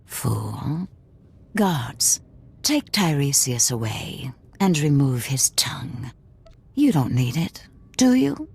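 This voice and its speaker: A woman playing a languid, sadistic crime boss in a video game, with a purring, teasing, drawn-out delivery that drops into whispers. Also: very deep voice, sing-song, creaky, a little mellow, husky, full character voice. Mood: coolly menacing. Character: sadistic, languid crime boss lady who savors cruelty.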